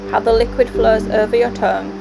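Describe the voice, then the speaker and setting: Soothing meditation guide, female, slightly high with some vocal fry, over loud guitar. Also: a little fast. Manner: calm, soothing, instructive. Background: guitar music.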